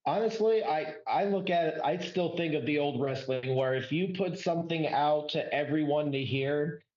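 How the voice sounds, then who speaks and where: A man's voice: a little high, moderate pace, a little mellow, roomy sound, highs cut off. A man co-hosting a wrestling podcast, giving blunt, opinionated takes over a remote call. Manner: opinionated, direct. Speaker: podcast co-host. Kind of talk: casual wrestling discussion.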